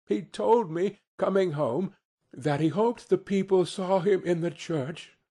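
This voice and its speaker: A man playing a gentle, affectionate, poor Victorian father in a storybook, earnest and emotional. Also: mid-range voice, wildly swooping pitch, takes it slowly, slightly rough, heavily acted. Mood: tender, moved.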